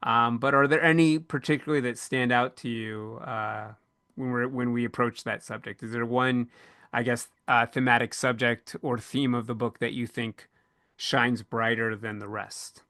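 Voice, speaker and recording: A man moderates a casual podcast panel in a middle-pitched voice. He circles his way toward each point in a hesitant manner. The sound is dry, with very little room to it. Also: steady tone, moderate pace, bright, forceful tone, no echo.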